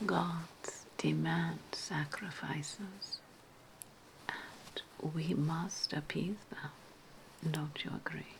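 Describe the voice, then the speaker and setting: A woman playing a sly, menacing ancient Roman witch hostess in a whispered ASMR roleplay, speaking deliberately with repeated phrases and lingering hums. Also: low voice, gravelly, exaggerated voice, poor audio. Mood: quietly menacing.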